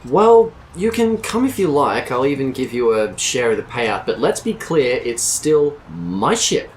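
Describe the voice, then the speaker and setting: A man playing a wary, jittery but bossy space pilot with an expressive, wisecracking manner in an audio drama. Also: medium pitch, measured pace, a little hiss or hum. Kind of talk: scripted audio drama acting.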